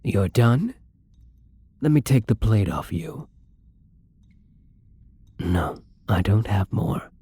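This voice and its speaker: A man playing a gentle, lonely, tormented vampire in an intimate ASMR roleplay, speaking softly and hesitantly in a breathy, brooding way, often near a whisper. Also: lowish voice, sing-song, no echo, heavily acted. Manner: slow, hesitant, brooding, tender. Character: melancholy young male vampire, gentle, lonely, tormented, once human.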